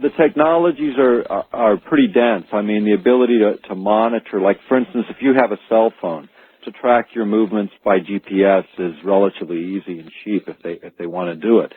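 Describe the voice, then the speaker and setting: A man speaking as an expert guest on a radio call-in show, explaining things in a halting but emphatic way. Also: on the low side, husky, no room sound. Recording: tinny phone-like radio line.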